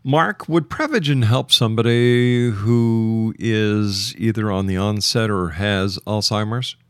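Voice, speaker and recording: Male interviewer, radio host delivery, lower-pitched, dry recording. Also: slow, a bit bright, clear, resonant tone, no echo.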